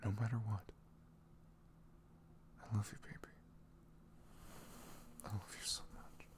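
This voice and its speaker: A man playing a sweet, caring boyfriend in an intimate ASMR roleplay, speaking softly and tenderly in a velvety, often breathy voice with earnest, rambling reassurance. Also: on the low side, flat delivery, slow. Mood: loving. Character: sweet, caring, devoted young boyfriend talking in bed.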